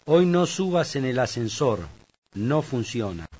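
A man's voice: mid-range voice, very animated, darkish tone. Man reading a Spanish lesson, lo-fi tape. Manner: slow, clear read-aloud delivery. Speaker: language course speaker. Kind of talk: scripted language lesson reading. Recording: dull lo-fi tape audio.

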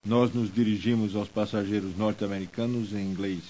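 A man with a somewhat low voice reads scripted language drills, each sentence slow and deliberate. His delivery stays evenly paced and instructional. The recording has the dull, lo-fi sound of an old course tape. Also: steady tone, warm tone.

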